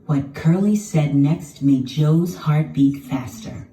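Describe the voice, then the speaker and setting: A woman's voice: low voice, slow pace, mellow. A woman reading scripted children's story narration as a storybook narrator. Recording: roomy, distant playback with music behind.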